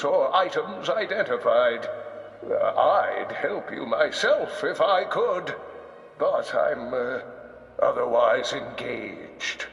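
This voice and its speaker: An actor playing a kindly old scholar in a video game, making slow, solemn, wry announcements with a creaky, weathered voice. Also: male voice, on the high side, a bit bright, heavily acted. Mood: kindly, hesitant. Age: older. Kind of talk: scripted game announcer voice lines. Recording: echoing hall reverb, music behind.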